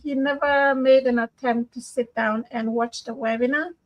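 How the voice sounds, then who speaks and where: A woman from answers interview questions over a video call. Her voice is quite high. She talks warmly and gratefully, with many small fillers. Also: steady tone, normal speed, lo-fi sound. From Papua New Guinea. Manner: warm and grateful, with frequent fillers. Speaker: guest, business owner.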